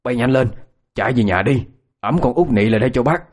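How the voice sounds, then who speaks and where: A man voicing an older village healer in a storytelling, gruff and commanding in a folksy way but kindly. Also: mid-range voice, animated, a little fast, close to an everyday voice. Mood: urgent, bossy. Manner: folksy, commanding, scolding elder.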